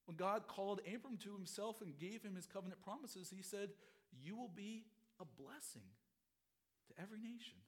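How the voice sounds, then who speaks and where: A middle-aged pastor preaching a sermon, his voice hoarse from a cold, earnest and expository, often repeating phrases. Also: high-pitched, expressive, normal speed.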